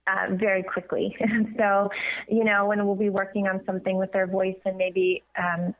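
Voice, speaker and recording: Tinny phone-line audio, female, medium pitch, earnest, explanatory, conversational interview guest. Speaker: guest, voice coach.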